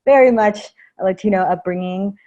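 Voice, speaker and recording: A woman's voice: medium pitch, moderate pace, mellow, lo-fi sound. A woman from the United States speaking informally and earnestly as a guest on a casual video-call panel, sprinkling her talk with "like". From United States (Miami, later Tennessee). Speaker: interview guest, cannabis alliance member.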